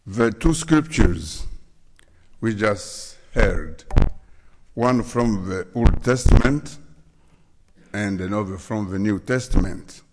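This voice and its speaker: Deep-voiced Congolese preacher in reverberant church. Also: male voice, lively intonation. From Democratic Republic of the Congo. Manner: slow, deliberate, spells out words. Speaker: guest preacher. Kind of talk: sermon. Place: church sanctuary.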